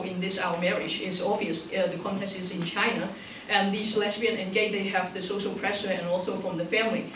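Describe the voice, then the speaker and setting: A woman speaks reflectively at a panel discussion in halting, non-native phrasing. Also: medium pitch. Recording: echoey room, distant mic, faint noise.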